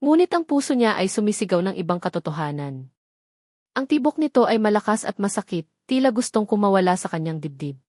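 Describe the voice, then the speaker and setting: A woman's voice: medium pitch, sing-song, a little fast, a little mellow, dry recording, studio quality. A woman with a Filipino accent reading a scripted, dramatic story as its narrator.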